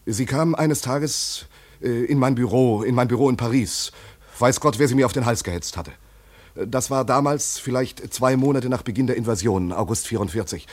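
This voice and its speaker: An actor playing a nervous, evasive former German official in a radio drama, speaking hesitantly and deferentially. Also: male voice, mid-range voice, normal speed, darkish tone, lightly acted. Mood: agitated, recounting.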